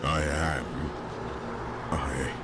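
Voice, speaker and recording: A man playing a dazed stranger who has lost his memory in a video game, speaking haltingly and in confusion. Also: rumbling low, fairly even intonation, heavy background noise, heavily acted. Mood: confused. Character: dazed fallen stranger without memory, bearing a warning. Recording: loud music behind the voice.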